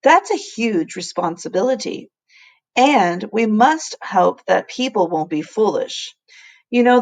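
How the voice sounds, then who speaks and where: Storybook professor played by a woman: earnest, kindly, explanatory, mid pitch with some fry. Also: natural voice. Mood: grave, earnest. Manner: deliberate, explanatory, emphatic.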